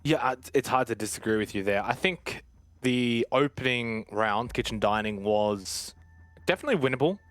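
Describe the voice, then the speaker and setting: A man's voice: medium pitch, expressive, normal speed, a bit bright, no room sound, clean studio sound. A man commentating live on an esports match, switching between play-by-play and conversational analysis.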